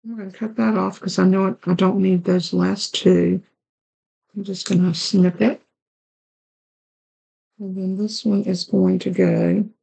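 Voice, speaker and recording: A woman with a raspy, hoarse voice hosting a card-making tutorial, chatting along as she works through each step. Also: medium pitch, fairly even intonation, a little slow, pauses often, dark tone, poor audio. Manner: chatty, talks while working with her hands. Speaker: card-making channel host.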